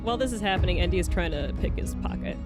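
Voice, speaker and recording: A woman's voice: fairly low pitch, expressive, very fast. A woman improvising casual, joking banter as a player in a tabletop role-playing game, brash and repeating her phrases, over music. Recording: loud music under the voice.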